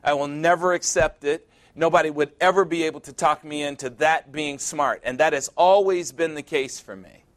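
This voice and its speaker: A man in his sixties preaching a sermon, emphatic and repetitive, building to loud peaks. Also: fairly high pitch, normal speed, hardly any pauses. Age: 60s.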